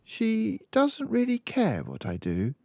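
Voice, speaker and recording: A man's voice: high voice, wildly swooping pitch, takes it slowly, vocal fry, darkish tone, dry recording, pitched above the performer's own voice, heavily acted. A man voicing an earnest, polite, bookish little girl from a storybook in a child-like manner. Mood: plain, resigned. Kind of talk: storybook character voice.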